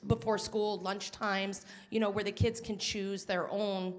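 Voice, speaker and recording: Female educator, mid-pitch, halting question with lots of fillers. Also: little pitch movement. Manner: hesitant, many fillers.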